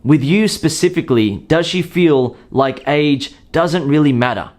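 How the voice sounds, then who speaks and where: Man giving calm dating advice. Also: fairly high pitch, measured pace. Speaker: dating coach. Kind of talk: scripted advice monologue.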